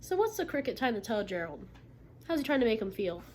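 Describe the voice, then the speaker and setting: A woman reading a picture book aloud as a teacher, expressively, pausing to ask questions and confirm answers. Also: a little high, sing-song, darkish tone. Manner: instructive, checking in with listeners by questions and affirmations. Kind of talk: interactive children's read-aloud, expressive storybook narration with questions. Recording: noticeable background noise.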